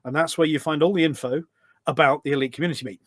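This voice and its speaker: Higher-pitched British man, chatty podcast guest. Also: fairly even intonation, very fast, no room sound, clean studio sound. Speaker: podcast guest, community event organiser. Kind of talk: casual chat. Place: office.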